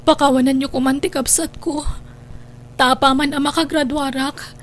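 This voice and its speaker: Woman acting high-voiced pleading young sister. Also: level tone, moderate pace, a little hiss or hum, lightly acted. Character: young female student, pleading, devoted orphaned younger sister. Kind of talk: Ilocano radio drama acting.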